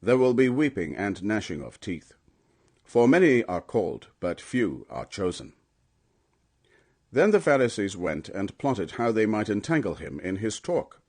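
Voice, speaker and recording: A man with a fairly low voice reads scripture as an audiobook narrator. His delivery is solemn and emphatic, like formal storytelling. The intonation moves widely, giving the reading a dramatic, animated shape. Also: unhurried.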